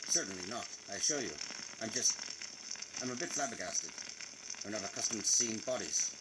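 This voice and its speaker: A man's voice: lowish voice, animated, fairly quick, reverberant, natural voice. Man playing a genteel museum proprietor, heavy hiss. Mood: frightened, flustered. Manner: formal, genteel, flustered. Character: polite, fussy, timid older male museum proprietor. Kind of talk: 1940s radio drama acting. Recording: very noisy recording with loud sizzling hiss.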